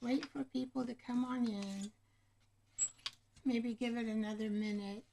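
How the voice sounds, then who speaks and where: Lady doing a craft livestream, higher voice w lots of fry, rambly and chatty with viewers. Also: steady tone, a little slow, dark tone, a little hiss or hum. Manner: rambling, chatty, often addressing viewers by name. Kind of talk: casual live chat with viewers. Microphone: camera microphone.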